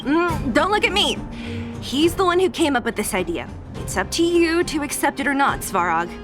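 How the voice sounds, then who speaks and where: A woman's voice: sits high, big pitch swings, moderate pace, music in the background. An actress playing an exasperated, no-nonsense young female fighter in a video game, blunt and dry in manner. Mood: weary, dry.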